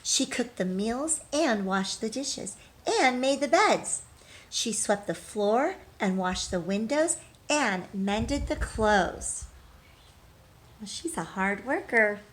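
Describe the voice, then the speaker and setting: A woman teacher reading a storybook aloud expressively, pausing to put questions to young listeners. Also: fairly high pitch, lively intonation, a little hiss or hum.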